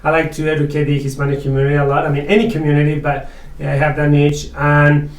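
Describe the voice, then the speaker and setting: A man's voice: a little high, completely flat delivery, fast talker. Eager Mexican-accented man, roomy distant sound. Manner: eager, chuckles often, frank. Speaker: guest, real estate agent and investor. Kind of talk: casual interview chat.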